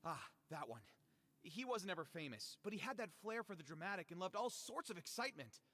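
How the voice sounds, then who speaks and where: Excitable high-voiced young reporter. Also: male voice, a little fast, exaggerated voice. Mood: reflective. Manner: theatrical, earnest. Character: excitable, ambitious young male cub reporter, self-important and dramatic. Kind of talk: video game character dialogue.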